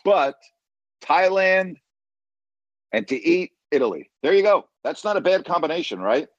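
A man's voice: fairly high pitch, very animated, moderate pace, dry recording, highs cut off. A man chatting casually as a guest, emphatic and repeating himself for stress. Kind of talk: casual chat.

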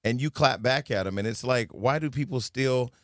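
A man's voice: mid-range voice, moderate pace, no room sound, clean studio sound. A man hosting an interview show, chatting loosely with plenty of fillers. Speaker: podcast host. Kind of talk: casual interview talk.

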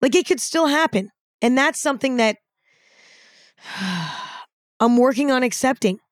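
Raspy young woman, dry close sound. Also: high voice, unhurried, no room sound. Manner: frank, self-deprecating, casual swearing. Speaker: podcast host. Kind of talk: candid solo podcast talk.

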